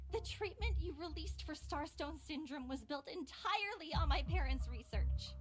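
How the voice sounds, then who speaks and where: A woman voicing a young idealistic nurse in a video game, sweet yet fiercely resolute, speaking with earnest, defiant emphasis. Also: very high voice. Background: game music.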